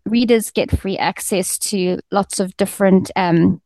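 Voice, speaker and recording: A woman editor chats about her work in a relaxed, friendly way, filling gaps with ums and trailing restarts. Her voice sits at an ordinary middle pitch. The sound is close and dry, with little room around it. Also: measured pace, dry recording. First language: Afrikaans second language; first language English. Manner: friendly, fluent with frequent ums. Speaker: magazine editor. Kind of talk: casual interview chat.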